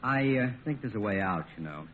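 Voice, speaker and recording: An actor playing a sensible, put-upon lawyer and family friend, dryly weary and cautioning, in a 1950s radio comedy. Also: male voice, on the low side, lively intonation, a little fast, lightly acted. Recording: tinny old radio recording, faint noise.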